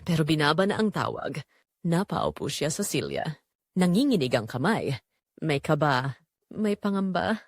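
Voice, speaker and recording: A Filipino woman reads a scripted drama as narrator. Her voice sits a little low and moves expressively up and down. The sound is dry and close. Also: sing-song, moderate pace, long pauses, no room sound.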